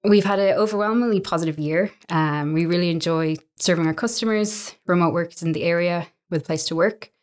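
Irish woman, low-pitched, 30s, relaxed storytelling, hesitant fillers. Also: brisk, few pauses. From Ireland. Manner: chatty, with many fillers and small restarts. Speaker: guest, co-owner of a coworking space.

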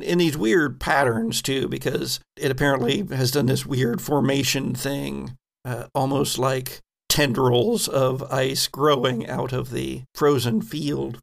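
A man game master narrates a tabletop session in a gravelly, mid-pitched voice. He takes his time, feeling his way through vivid descriptions.

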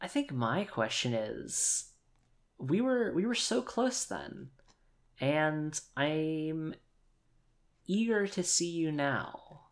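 A woman with a very deep voice narrates a tabletop game. She speaks slowly and hesitantly, circling back over phrases as she works out what to say. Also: lively intonation. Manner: hesitant, thinking aloud, often repeats phrases. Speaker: player in an actual play tabletop game.

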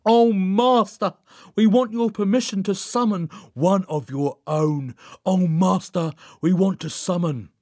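Man playing a fervent, high-pitched female cultist, chanting. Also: mellow, no room sound, studio quality. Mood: fervent pleading. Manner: solemn, drawn-out incantation. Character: earnest female cultist chanting devotion to her dark master.

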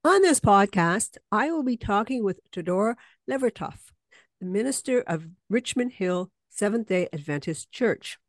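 A woman hosting a community podcast, introducing her guest and asking questions in a friendly, measured way. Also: mid-range voice, animated, no room sound. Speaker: podcast host.

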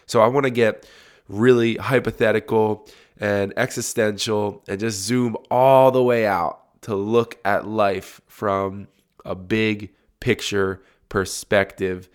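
A young man hosting a solo podcast while congested with a cold, his voice deep and resonant, talking in a relaxed, reflective way with frequent restarts. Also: slow, clean tone. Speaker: podcast host. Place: home recording room.

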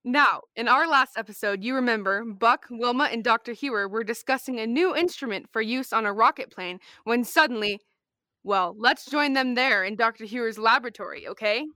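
A woman's voice: a little high, normal speed, pushed and bright, no echo, clean studio sound. A young woman playing a peppy old-time radio announcer who addresses children. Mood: excited. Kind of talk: 1930s radio announcing and ad reading.

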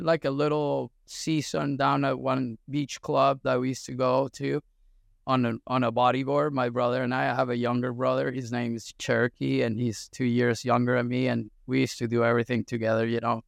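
A middle-aged man from Venezuela, a surf coach talking as a podcast guest in a relaxed storytelling way with many fillers. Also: medium pitch, moderate pace, gravelly, no echo, clean studio sound. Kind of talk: casual interview talk.

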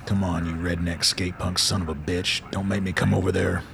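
Gruff army commander played by a male actor, deep-ish gravel voice, terse and profane. Radio play, slight noise. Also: level tone, brisk. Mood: muttering, frustrated. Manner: terse, clipped orders. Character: gruff, foul-mouthed military commander, a man. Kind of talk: radio drama acting.

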